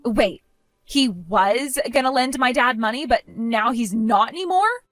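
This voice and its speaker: Woman doing a sneering, giggly future sister-in-law for an audio drama; bright, bouncy, dry close sound. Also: on the high side, lively intonation, unhurried, no echo, soft background music. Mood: pleading. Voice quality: giggly, taunting. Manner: sing-song, dismissive, turns pleading.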